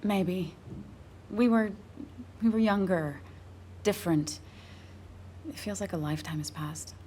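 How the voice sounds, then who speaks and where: An actress playing a weary, grieving woman in her thirties, confiding haltingly in naturalistic video game drama. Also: mid-range voice, mellow, a little hiss or hum, lightly acted. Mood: sad, reflective.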